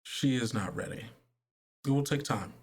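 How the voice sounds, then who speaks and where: A man playing a gentle but insistent female religious authority, speaking formally, haltingly and patiently in a tabletop game. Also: mid-range voice, slightly rough, close to an everyday voice. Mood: calm, unyielding.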